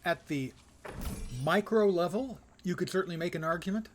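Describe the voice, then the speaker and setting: A man's voice: high voice, moderate pace, a little hiss or hum. A man doing casual live commentary on a video game, musing in a dry, wry, pedantic way. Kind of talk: casual solo gameplay commentary.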